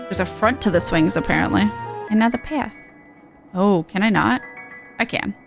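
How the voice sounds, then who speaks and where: A woman's voice: medium pitch, moderate pace, gravelly. A woman game streamer giving casual, playful, chatty commentary on her playthrough. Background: game music.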